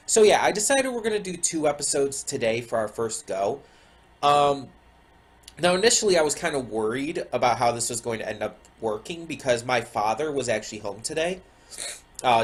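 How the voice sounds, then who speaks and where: A man's voice: mid-range voice, husky. A man with a stuffy nose and a slightly sore throat, talking casually at home as a reaction video host, rambling with lots of ums. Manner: rambling, many ums and restarts. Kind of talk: casual chat to camera. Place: home room with a window.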